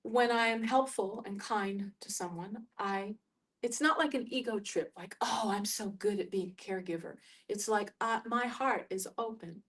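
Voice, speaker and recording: Woman thinking aloud in group discussion. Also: medium pitch. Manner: halting, searching for words.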